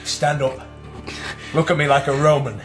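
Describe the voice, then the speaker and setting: A man playing a hard, resolute but gruffly merciful barbarian general in a radio drama. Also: fairly high pitch, level tone, moderate pace, close to an everyday voice. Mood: stern, merciful. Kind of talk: British radio drama acting. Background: music.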